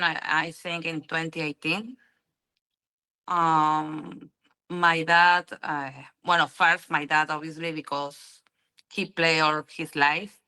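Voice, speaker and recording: A young woman from Argentina speaks English with a Spanish accent, slowly, in a low voice. Her tone is bright and forceful. She keeps pausing on "um" and "you know" while she looks for the words. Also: smooth, low-quality recording. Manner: halting learner's English, many ums and "you know". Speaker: interview guest, pool player. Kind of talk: casual interview answers.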